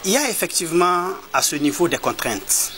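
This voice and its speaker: A man from Mali, an advocate, giving a measured, explanatory interview answer with frequent hesitations and repeated words. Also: high voice, very animated, over loud music. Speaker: human rights advocate.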